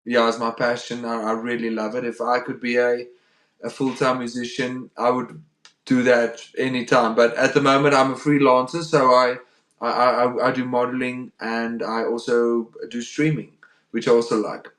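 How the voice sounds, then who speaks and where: A man's voice: medium pitch, level tone, normal speed, a bit bright. Young afrikaans-accented guy hosting a stream, lots of um and restarts, echoey room. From South Africa. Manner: hesitant, repeats words, says um often. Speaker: livestream host. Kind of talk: casual livestream chat. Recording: roomy echo.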